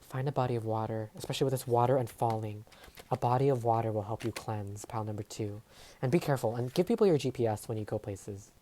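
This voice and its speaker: A woman's voice: a bass voice, fast, dark tone. A woman whose first language is Tagalog, giving a rambling tarot reading full of fillers and trailing phrases. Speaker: tarot reader. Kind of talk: casual tarot reading monologue. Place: home filming setup. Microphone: overhead camera setup.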